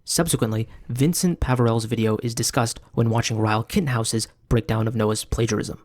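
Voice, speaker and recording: A man's voice: mid-range voice, steady tone, a little fast. A man reading scripted video-essay narration.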